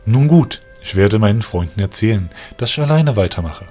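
A man voicing a sly, stubborn young male faun in an audio drama, talking in a lively, husky way. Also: on the low side, fairly quick, faint music behind. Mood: feigning.

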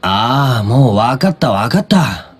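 A man voicing a cool, offhand high school boy in an anime-style game, casual and blunt. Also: big pitch swings, a little slow, breathy edge. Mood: exasperated.

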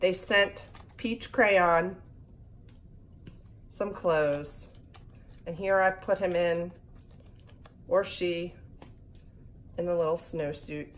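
A woman's voice: lowish voice, extremely slow, pauses often. A middle-aged woman reading a children's picture book aloud with casual asides. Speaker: read-aloud reader for children. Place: living room by a fireplace. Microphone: phone propped in front of her. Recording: home phone recording with background noise.

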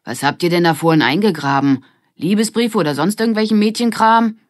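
A woman's voice: fairly low pitch, brisk, no room sound, studio quality, voice pushed up, lightly acted. A woman voicing a cocky, bossy young boy from a rival gang in a children's audiobook, taunting and commanding. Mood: mocking.

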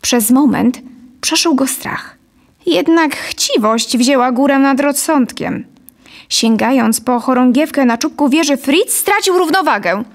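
A woman's voice: sits high, lively intonation, a little slow. A woman in her thirties reading scripted story narration into a close studio microphone. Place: dim studio set, indoors. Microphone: close studio condenser microphone.

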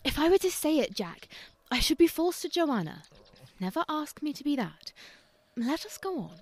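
A woman's voice: high-pitched, very animated, moderate pace. A woman playing a soulful, melodramatic woman in love, slyly catty, in a radio play. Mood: coy, catty. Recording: noisy recording with clicks.

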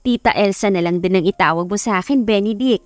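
A woman's voice: medium pitch, quick pace, dry recording, close to an everyday voice. A woman narrator puts on a middle-aged Filipino mother in a romance audiobook. She is homely, chatty and hospitable. The sound is dry, with music underneath. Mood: welcoming.

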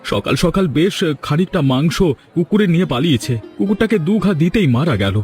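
Guy doing a meek village butcher uncle for a cartoon, highish voice, music faintly under. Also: expressive, brisk, a little mellow, natural voice. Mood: friendly, rueful. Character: humble, deferential male village butcher, a kindly uncle. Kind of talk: cartoon fairy-tale acting.

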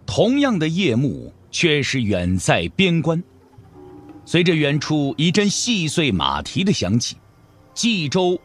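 A man narrating a martial-arts audio drama in a measured, expressive, scripted storytelling style. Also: fairly high pitch, husky. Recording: music under the voice. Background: background music.

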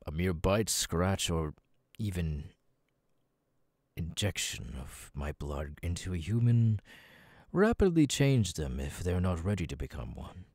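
A deep, husky demon lord, acted by a man, speaks slowly and closely in an ASMR roleplay. He is cold, menacing and commanding, often sinking into breathy murmurs as he looks down on his listener. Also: very animated, darkish tone, no echo, studio quality, exaggerated voice. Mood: calculating. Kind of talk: ASMR audio roleplay monologue.

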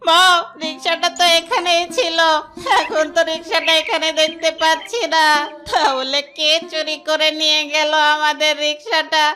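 A woman voicing a naive, tearful young male fox in a children's cartoon, pleading in a whiny, drawn-out way. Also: high voice, flat delivery, sharp tone, soft background music. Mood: alarmed. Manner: whining, wailing, drawn-out pleading.

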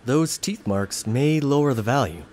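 A man's voice: medium pitch, animated, normal speed, darkish tone, a little hiss or hum, lightly acted. A man playing a polite, puzzled antiques appraiser in a comedy sketch.

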